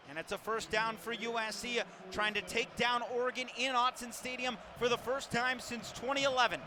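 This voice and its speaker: A man calling football play-by-play for radio in clipped, descriptive phrases, projecting his voice like a sports announcer. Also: very high-pitched. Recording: loud stadium ambience behind the voice. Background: stadium noise.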